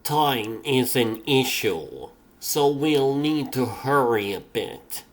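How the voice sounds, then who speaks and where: Comic-dub henchwoman: male actor as cool clinical scientist, very slow, mid pitch, precise and politely detached. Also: lively intonation. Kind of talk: comic dub character acting.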